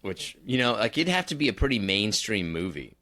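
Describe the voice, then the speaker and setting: Sarcastic low-voiced man with a bright edge. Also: fairly quick, a little hiss or hum. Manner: sarcastic, deadpan teasing. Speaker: podcast co-host. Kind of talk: casual banter.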